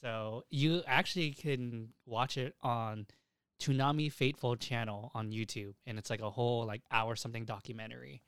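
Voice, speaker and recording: A man's voice: mid-range voice, fairly even intonation, normal speed, fairly bright tone, clean tone, no room sound, clean studio sound. A young man co-hosting a casual anime news podcast, rambling along and swearing freely. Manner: profane, rambling. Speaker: podcast co-host.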